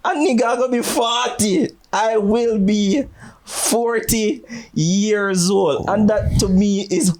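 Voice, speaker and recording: A man in his thirties with a Jamaican accent, co-hosting a podcast in relaxed chat, switching between standard English and patois and laughing often. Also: sits high, slow pace. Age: 30s. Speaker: podcast co-host. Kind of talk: casual chat.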